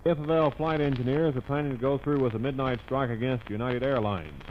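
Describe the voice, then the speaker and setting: A man's voice: medium pitch, brisk. An American man reading scripted news as a 1950s radio newscaster. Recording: dull, noisy 1950s AM radio recording.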